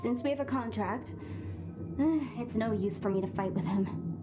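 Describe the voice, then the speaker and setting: A woman's voice: fairly high pitch, measured pace, natural voice. An actress playing an earnest, scholarly young woman who speaks plainly and reproachfully. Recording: game cutscene over music. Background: music.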